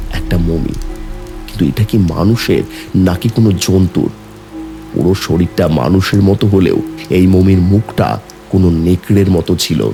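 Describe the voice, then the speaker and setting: A man's voice: low-pitched, a little mellow. A man narrating a horror story in the first person as a somber young man, brooding and suspenseful. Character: somber young man recounting an eerie burial.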